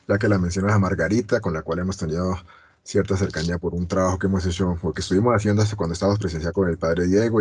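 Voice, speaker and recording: A man's voice: low-pitched, a little mellow, breathy edge. A man hosting a university program, asking long, friendly questions. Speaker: university radio host. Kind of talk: interview.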